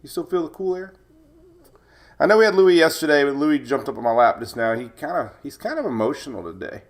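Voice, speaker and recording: A male live-stream host with a fairly high voice chats casually to viewers. He rambles and jokes along, and his nose sounds a little stuffed up from a cold. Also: expressive, brisk. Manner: chatty, rambling, jokey.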